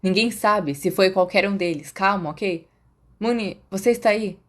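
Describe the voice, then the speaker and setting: A woman's voice: medium pitch, moderate pace, close to an everyday voice. A woman voicing an earnest, protective teenage boy in an audiobook story. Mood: urgent.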